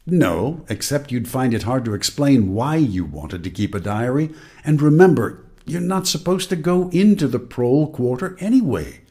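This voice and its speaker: A man's voice: medium pitch, sing-song, moderate pace, dark tone. An actor playing a man's urgent, warning inner voice in a radio drama, giving clipped, bossy commands. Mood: needling, cautioning. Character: Winston's inner voice, urgent, warning, needling male conscience.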